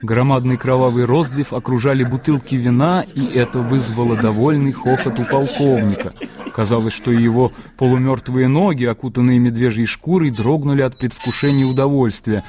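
A man's voice: medium pitch, fairly even intonation, brisk, a crowd in the background. A man narrating a literary radio drama as scripted storytelling. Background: faint music.

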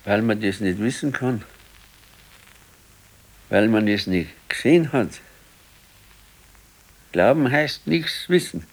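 Weary, slow old Bavarian husband. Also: male voice, on the low side, animated, husky, heavily acted, low-quality recording. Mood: brooding. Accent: southern German, Bavarian. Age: older. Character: ailing old husband, weary, stubborn, brooding. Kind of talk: naturalistic radio play acting.

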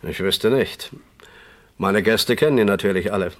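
A man's voice: lowish voice, normal speed, natural voice. An actor playing a plain-spoken, homely and slightly wary male country innkeeper in a radio crime drama. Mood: chatty, wary.

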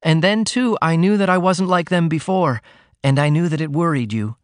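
A man's voice: on the high side, normal speed, no echo, clean studio sound, pitched above the performer's own voice, natural voice. A man voicing an earnest, innocent young woman who confides in her mother in an audiobook reading. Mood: earnest confiding.

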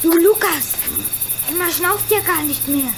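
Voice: very high-pitched, a little slow, background noise. A performer playing an eager, anxious, earnest young boy in a German radio play. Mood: worried, curious.

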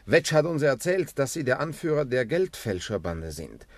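A shrewd, stubborn newspaperman in a 1960s radio crime drama. His medium-pitched voice has a gravelly creak and can turn groggy and searching. The sound is dry, with no room around it. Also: big pitch swings, normal speed, no room sound. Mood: dry, probing. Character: groggy but stubborn, shrewd male journalist-investigator.

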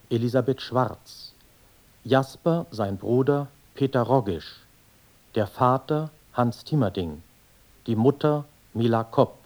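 A man announcing scripted radio play credits in a formal, measured, deliberate manner. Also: mid-range voice, darkish tone.

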